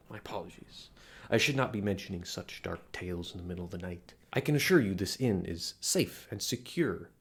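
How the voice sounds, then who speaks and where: A man's voice: lowish voice, breathy edge. A man playing a flirty, theatrical palm reader at a fantasy inn, speaking in courtly, coaxing phrases to the listener in an intimate audio roleplay. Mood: ominous.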